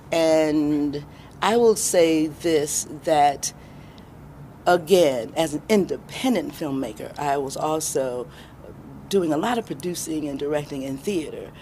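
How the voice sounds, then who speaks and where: A middle-aged American woman answering interview questions as a guest, reflective and deliberate, pausing for emphasis. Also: sits low, warm tone, slight background noise. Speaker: interview guest, independent filmmaker.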